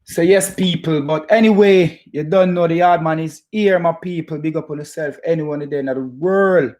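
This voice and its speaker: A man from Jamaica with a Jamaican accent, hosting a casual football fan chat in a halting, stop-start way and repeating his phrases. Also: a little high, darkish tone. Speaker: football fan channel host. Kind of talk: casual chat to live viewers about football.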